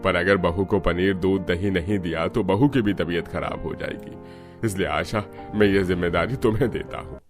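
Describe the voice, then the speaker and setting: Brief: man as a firm cartoon household head, very deep voice, music under. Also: normal speed, lightly acted. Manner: firm, instructing. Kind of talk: cartoon dubbing.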